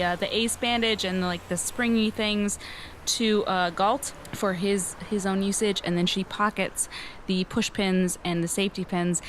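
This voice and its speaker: A woman's voice: medium pitch, a little hiss or hum. A woman playing a tabletop game on a podcast, casually narrating her character's actions in the third person. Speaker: player in actual-play podcast.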